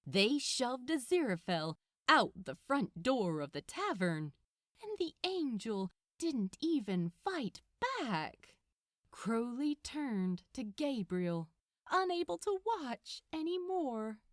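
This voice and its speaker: Woman reading a story out loud, super animated, takes her time, really dry close recording. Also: fairly high pitch, takes it slowly, no echo. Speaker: audiobook narrator of fan fiction. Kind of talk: expressive scripted story narration.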